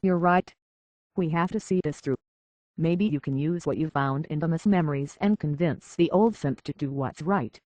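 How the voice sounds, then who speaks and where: Woman acting as troubled young game heroine, earnest, halting, hushed, searching, dry studio-like sound. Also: sits low, no room sound, natural voice. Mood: calm, resolved.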